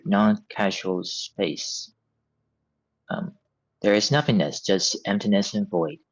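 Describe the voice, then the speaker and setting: A man narrates stage directions at a table read. His voice sits fairly low and measured, on a dry, slightly muffled recording. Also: no echo.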